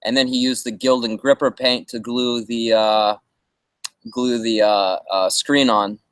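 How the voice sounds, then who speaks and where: A man's voice: mid-range voice, fairly even intonation, unhurried, smooth, no room sound. A man co-hosting a casual livestream, laid-back and joking as he chats. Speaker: livestream co-host. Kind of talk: casual live chat.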